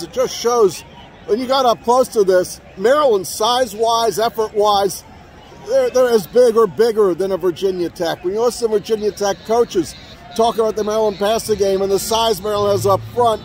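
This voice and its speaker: A man's voice: high-pitched, a little mellow. A middle-aged man hosting a fan show's postgame chat from the field, upbeat and rattling off player stats. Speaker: fan show host. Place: outdoor stadium field after a game. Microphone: phone selfie at arm's length. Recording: stadium crowd and music behind.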